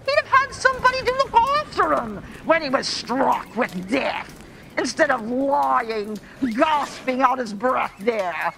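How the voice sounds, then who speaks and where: A woman playing a gleeful, callous old female scavenger in a theatrical radio play, shrill and cackling. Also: sing-song, unhurried, creaky, pushed and bright, slight background noise, heavily acted, rough recording. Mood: gleeful, scornful.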